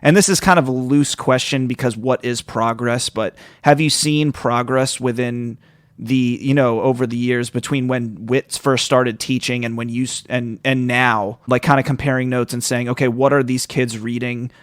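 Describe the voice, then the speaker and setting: A man's voice: medium pitch, fairly even intonation, no echo. Man asking rambling questions in a dry room. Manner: rambling, halting, circles around his questions. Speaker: podcast host, interviewer. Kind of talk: casual literary interview.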